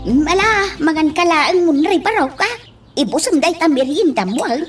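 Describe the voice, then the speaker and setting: An actress playing a fretful middle-aged housekeeper in a radio drama, full of exclamations. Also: female voice, high voice, expressive, normal speed, recorded from a distance, soft background music. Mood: doting. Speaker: actor. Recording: faint background noise.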